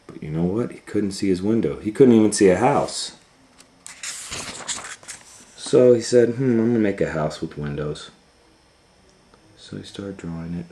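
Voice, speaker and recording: A man's voice: on the low side, expressive, normal speed, pauses often, mellow. A man reading a children's storybook aloud as a storytime host, retelling it informally with musing asides. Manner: improvised asides and musing hums.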